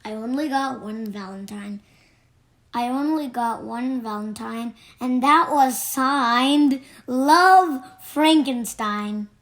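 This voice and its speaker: A woman reading children's poems aloud from a storybook in a deliberate way. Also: high-pitched. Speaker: storybook reader. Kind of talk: read-aloud children's poetry.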